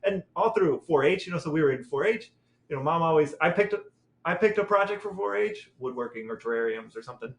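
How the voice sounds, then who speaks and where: Fast-talking man in an echoey room. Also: a little high, animated, vocal fry. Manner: repeats phrases for emphasis. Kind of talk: casual family reminiscing. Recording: echoey, roomy sound.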